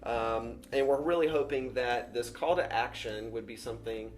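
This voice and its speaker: A man's voice: medium pitch, moderate pace, soft background music. A man interviewing an author, friendly, introducing the book and asking questions with some stumbles and repeated words.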